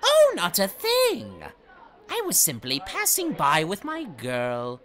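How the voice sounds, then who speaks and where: A man's voice: sits high, huge pitch swings, takes it slowly, fairly bright tone, exaggerated voice. A young man playing a theatrical, mocking teenage villain in an audio drama, sneering and sarcastic.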